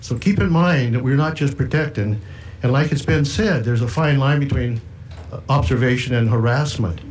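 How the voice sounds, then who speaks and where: A man's voice: mid-range voice, normal speed, a little mellow, poor audio. A middle-aged man giving public testimony as a fisherman, telling a personal account. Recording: hearing room with faint noise.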